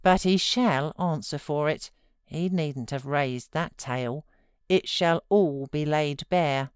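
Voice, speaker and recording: Female narrator as a scolding housekeeper, indignant, measured pace, lowish pitch, audiobook drama. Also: a little mellow, clear, resonant tone, no room sound, clean studio sound. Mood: indignant, threatening. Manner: forthright, reproachful.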